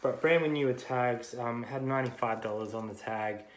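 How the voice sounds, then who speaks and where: A man in his thirties with an Australian accent, casually talking through his thrift finds for a vlog, relaxed and full of ums. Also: medium pitch, moderate pace, reverberant, slight background noise, rough recording. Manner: relaxed, many ums, chatty. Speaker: vlogger and reseller. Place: car, then a small living room. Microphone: phone camera at arm's length.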